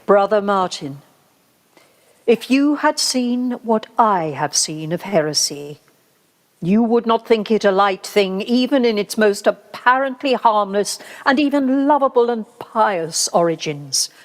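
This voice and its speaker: Radio drama: female actor as a grave, unbending Inquisitor, slow measured oratory, heavily reverberant sound. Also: medium pitch, animated, close to an everyday voice. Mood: solemn warning. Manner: measured, solemn, rhetorical. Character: grave male medieval Inquisitor, gently zealous and unbending. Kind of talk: radio play monologue. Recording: reverberant hall-like live recording from home.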